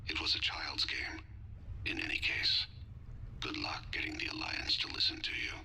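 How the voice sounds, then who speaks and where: A man voicing a dying, calm male alien assassin in a video game, speaking in a breathy, formal, measured hush. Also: in a whisper, low-quality recording. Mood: quiet, wistful. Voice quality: hushed, breathy, gravelly. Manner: measured, formal, softly reflective.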